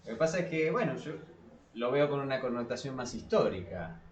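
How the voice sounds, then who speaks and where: A man's voice: medium pitch, sing-song, a little fast, lo-fi sound, a little hiss or hum. A man with an Argentine Spanish accent hosting a casual podcast debate, explaining informally in a rambling, self-correcting way. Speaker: podcast host. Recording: echoey, reverberant room.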